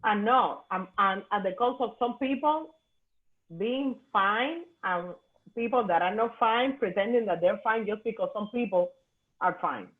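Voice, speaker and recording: A woman's voice: medium pitch, a little slow. A woman from Cuba with a Cuban Spanish accent, a native Spanish speaker and podcast host, talking in emphatic, slightly broken English over a remote call. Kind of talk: casual conversation. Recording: tinny phone-like remote call audio.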